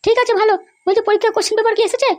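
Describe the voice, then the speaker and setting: A woman's voice: extremely high pitch, level tone, rapid-fire. A woman playing a schoolmaster's vindictive, pushy wife who gives commands insistently in a comedy cartoon. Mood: vindictive, demanding.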